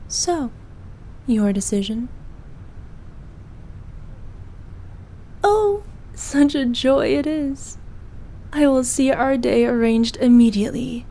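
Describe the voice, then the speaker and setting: A woman playing a regal, possessive evil queen who dotes on her knight in an intimate ASMR roleplay, speaking formally and softly, at times in breathy whispers. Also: on the high side, slight background noise. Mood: seductive, pleased. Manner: regal, slow, archaic formal speech.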